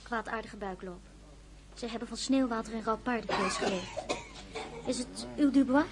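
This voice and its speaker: Actress as a brisk high-voiced nursing sister. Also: female voice, lightly acted. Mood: matter-of-fact. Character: brisk, matter-of-fact military hospital nursing sister. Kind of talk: period radio drama acting. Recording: noisy radio drama recording.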